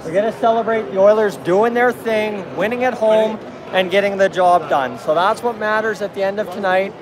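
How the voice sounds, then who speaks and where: A man's voice: high-pitched, moderate pace. A man giving post-game sports commentary as a fan vlogger, recorded on a phone at arm's length in a busy arena entrance. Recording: loud urban crowd and traffic noise.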